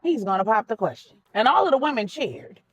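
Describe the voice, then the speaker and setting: Animated woman narrating a story. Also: a little high, brisk, slightly rough, dull audio. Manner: dramatic, acts out each character's dialogue. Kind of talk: expressive narration of a relationship drama story.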